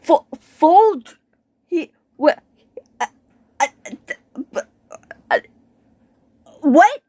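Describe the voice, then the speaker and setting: A woman's voice: very high voice, expressive, very slow, dark tone, no room sound. A woman giving casual reaction commentary while recording a video game playthrough.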